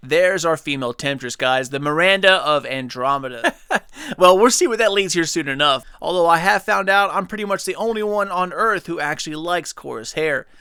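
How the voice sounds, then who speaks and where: A man's voice: on the high side, expressive, normal speed, pushed and bright, clear, resonant tone, dry recording, clean studio sound. Upbeat young man breaking down a trailer. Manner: enthusiastic, chatty, speculative. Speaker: gaming channel host. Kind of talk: casual video commentary.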